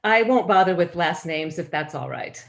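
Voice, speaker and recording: A woman's voice: on the low side, animated, moderate pace, vocal fry, fairly bright tone. A woman playing a gracious, fussy suburban hostess with genteel manners in a staged play reading. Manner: polite, genteel.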